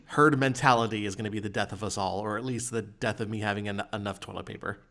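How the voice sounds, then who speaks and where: A man's voice: on the low side, fairly even intonation, quick pace, sharp tone. A man co-hosting a podcast, telling anecdotes in casual chat with wry, exasperated asides. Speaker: podcast co-host.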